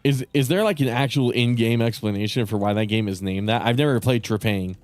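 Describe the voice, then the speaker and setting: Rambling man streaming, voice going raspy. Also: mid-range voice, fairly quick, dry recording. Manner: rambling, profane, many fillers and restarts. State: voice getting raspy. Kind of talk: casual live-stream chat with viewers.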